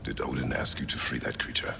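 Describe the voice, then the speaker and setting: Extremely deep, gravelly stoic warrior father. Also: monotone, quick pace, long reverb tail, noisy background, exaggerated voice. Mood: suspicious, grave. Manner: terse, formal, no contractions, grave. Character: stern, stoic, protective warrior father, huge and battle-worn. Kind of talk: dramatic video game cutscene acting.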